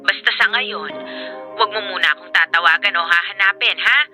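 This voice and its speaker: A woman's voice: mid-range voice, fairly quick, natural voice. A woman playing a troubled, evasive mother in a Tagalog radio drama. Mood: sad, firm. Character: troubled, evasive mother leaving her child. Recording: loud music under the voice. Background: music.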